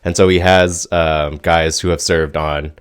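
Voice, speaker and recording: A man's voice: sits low, level tone, unhurried, clear, resonant tone. A man hosting a casual talk, explaining things in an informal, hesitant way. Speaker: host introducing and explaining clips.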